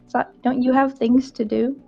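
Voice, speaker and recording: A confident, wry vampire club owner speaks with a gravelly, somewhat high voice, played by a woman. The recording sounds dull and lo-fi, with faint music behind. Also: little pitch movement, normal speed, mellow.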